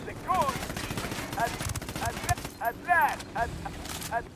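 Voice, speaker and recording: A menacing video game mercenary colonel, throws out boastful taunts in a very high, shouted voice. He draws words out slowly with big swoops of pitch, over battlefield noise. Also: expressive, full character voice. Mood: taunting, menacing.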